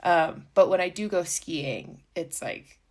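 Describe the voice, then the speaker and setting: A woman's voice: lowish voice, lively intonation, slow pace. A young American woman, a professional skier, speaking as a podcast guest in a candid, reflective way, with frequent "like" fillers. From United States. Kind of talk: casual interview talk.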